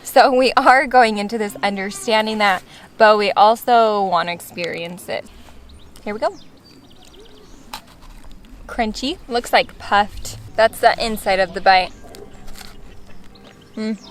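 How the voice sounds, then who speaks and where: A woman's voice: medium pitch, takes it slowly, long pauses. A young American woman chatting casually as a travel vlogger. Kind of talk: casual vlog chat. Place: outdoors in a town and gardens. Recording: outdoor ambience with clear background noise.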